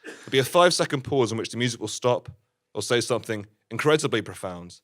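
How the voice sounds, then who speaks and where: A man performing live musical stand-up on stage, talking in an awkward, understated, halting way. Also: medium pitch, lively intonation, a bit bright. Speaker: musical comedian with keyboard. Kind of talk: live stand-up with spoken comic songs. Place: live stage before an audience. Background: keyboard music.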